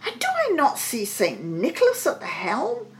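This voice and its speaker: A woman voicing an excitable old king, exclamatory and imperious, in a storybook reading. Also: a little high, normal speed, pitched above the performer's own voice. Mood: astonished, commanding. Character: excitable old king, hearty and easily astonished.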